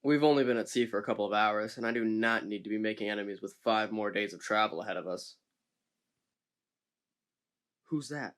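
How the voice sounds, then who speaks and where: A man's voice: fairly low pitch, fast, close to an everyday voice. A man playing a grumpy ship captain. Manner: grumpy, deadpan. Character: grumbling middle-aged male ship captain. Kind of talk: amateur audio drama acting.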